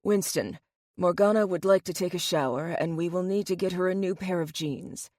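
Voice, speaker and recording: A woman's voice: on the low side, normal speed, darkish tone, dry recording, clean studio sound, natural voice. A woman voicing a composed, teasing man of means in an audiobook.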